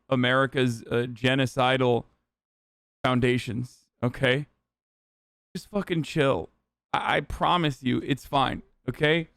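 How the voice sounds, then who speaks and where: Profane ranting streamer, crisp dry voice. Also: male voice, mid-range voice, dry recording. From Turkey. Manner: loose, profane, emphatic ranting. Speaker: streamer reacting to a video. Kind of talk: casual livestream commentary.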